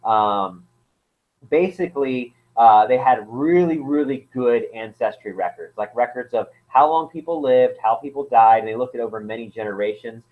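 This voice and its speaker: A man's voice: mid-range voice, normal speed. Man, anthropologist guest, hesitant, filler-heavy, phone-like sound. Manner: hesitant, many fillers and restarts. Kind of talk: casual interview explanation. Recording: tinny phone-like line.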